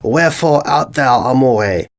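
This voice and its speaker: Flirty pony prince husband, mock-romantic, as a man voices him; animated pitch. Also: a little slow, close to an everyday voice.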